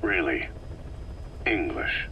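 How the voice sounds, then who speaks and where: An actor playing a stoic, battle-worn military commander in a video game, speaking in terse, clipped questions and short replies. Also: male voice, extremely low pitch, very animated, slow pace, vocal fry, dull audio, exaggerated voice. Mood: stoic, probing. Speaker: military commander receiving a briefing. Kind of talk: acted dialogue in a video game. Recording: faint background noise, cassette briefing tape.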